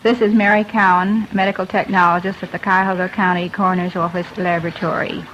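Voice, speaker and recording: A woman's voice: lowish voice, little pitch movement, fast, fairly bright tone. An American woman giving a formal, factual statement as a lab technologist. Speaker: laboratory medical technologist. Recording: dull, faintly noisy old radio recording.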